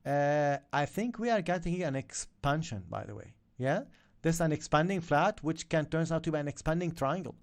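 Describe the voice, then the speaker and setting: A man's voice: on the high side, brisk. A man whose first language is Arabic, teaching a live-stream trading lesson with lots of energy and checking in with viewers as he goes. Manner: energetic, repeats "okay?" and "yeah?" to viewers. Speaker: trading coach.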